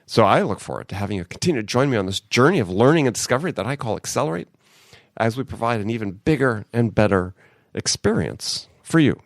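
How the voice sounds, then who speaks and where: A man's voice: mid-range voice, expressive, fast talker, vocal fry, no echo, clean studio sound. A man hosting a podcast in casual, upbeat talk while getting over a cold. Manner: upbeat, conversational. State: has a cold. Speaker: podcast host.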